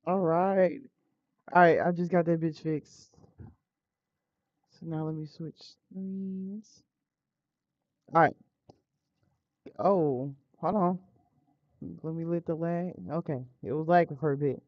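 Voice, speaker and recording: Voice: medium pitch, fairly even intonation, slow, pauses often, dry recording. American game streamer, androgynous mid-range voice, casual, profane, muttering, close dry microphone, slightly dull lo-fi sound. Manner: informal, profane, muttering to self and viewers. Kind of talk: casual live game stream chat with read-aloud visual novel text.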